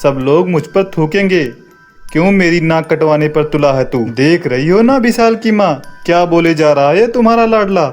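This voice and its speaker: A man's voice: fairly high pitch, expressive, moderate pace, a little mellow, natural voice. A man puts on an outraged, domineering father from an audio storybook, guarding family honour. He scolds indignantly in a raised voice, with music underneath. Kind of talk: acted audio story dialogue.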